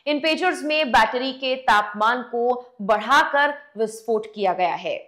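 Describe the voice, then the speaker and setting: Higher-pitched woman news presenter. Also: lively intonation, measured pace, a bit bright. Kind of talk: scripted news explainer narration. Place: indoor studio. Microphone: lapel mic.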